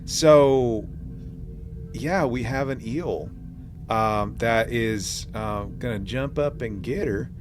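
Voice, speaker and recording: A man co-hosting a podcast in casual chat, halting as he pieces his thoughts together with many pauses. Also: medium pitch, background noise. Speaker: podcast co-host.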